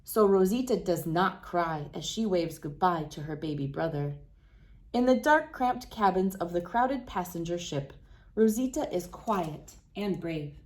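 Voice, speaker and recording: A woman hosting a read-aloud, narrating for children with expressive, deliberate phrasing. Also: fairly low pitch.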